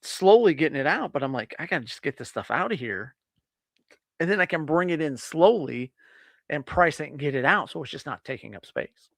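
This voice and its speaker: A man's voice: a little high, expressive, a little fast, a bit bright, no room sound. A man co-hosting a podcast, chatting casually from his home office in a rambling, laid-back way with casual swearing. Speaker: podcast co-host. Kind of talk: casual chat.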